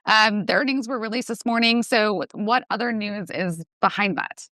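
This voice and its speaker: A woman's voice: medium pitch, normal speed, bright, forceful tone, no room sound. A woman moderating a question session on a company webinar in a casual, conversational style.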